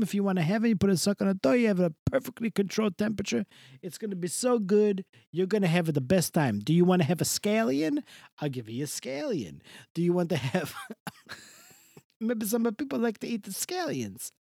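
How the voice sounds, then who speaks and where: A gushing middle-aged Italian matron, put on by a podcast co-host, mangling her English in a thick mock Italian accent. Her pitch swoops up and down as she piles on exclamations. The sound is dry and close. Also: very animated, a little fast, vocal fry, darkish tone, dry recording. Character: effusive middle-aged Italian woman, Mrs. DeRossi. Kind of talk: comic character bit in casual chat.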